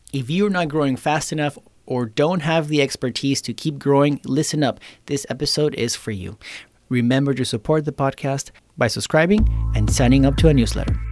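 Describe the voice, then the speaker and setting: Host: mid-pitched man, upbeat announcer read, dry sound with faint music underneath. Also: darkish tone, no echo. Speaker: podcast host. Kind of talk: scripted intro and interview.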